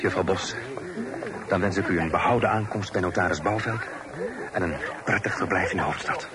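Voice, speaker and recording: An actor playing a courteous, well-bred young gentleman in a radio drama, polite, formal and genial. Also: low voice, a little fast, over a noisy crowd, natural voice. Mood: formal, kindly. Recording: radio play with loud background bustle.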